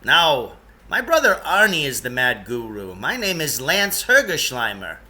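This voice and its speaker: Guy reading as an eccentric impatient man from a kids book, brusque, loud bright voice, swoops around a lot. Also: medium pitch, wildly swooping pitch, a little slow, full character voice. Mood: matter-of-fact. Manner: emphatic, exclaiming, dismissive. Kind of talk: children's novel read-aloud.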